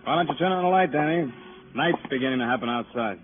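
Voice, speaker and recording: An actor playing a wry, chatty police detective in a 1950s radio crime drama, with colourful, wordy delivery. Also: male voice, mid-range voice, lively intonation, quick pace, over music, lightly acted. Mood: wry, musing. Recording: tinny, noisy old radio recording.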